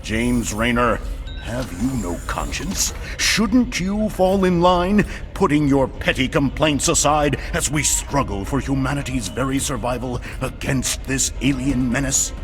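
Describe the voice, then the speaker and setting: An actor playing a self-righteous, declamatory TV news anchor delivering propaganda in a video game. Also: male voice, mid-range voice, lively intonation, unhurried, faint music behind, natural voice. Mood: pompous indignation. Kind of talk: mock newscast in video game cutscene.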